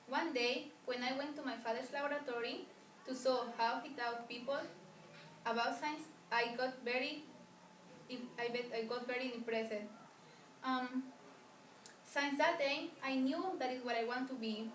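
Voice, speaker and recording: A woman acts out a famous woman scientist being interviewed in an amateur film. Her high voice is earnest and formal, with halting phrasing. The sound is roomy and distant, with faint noise. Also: fairly bright tone. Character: famous pioneering woman scientist, polite, earnest, proud talk-show guest.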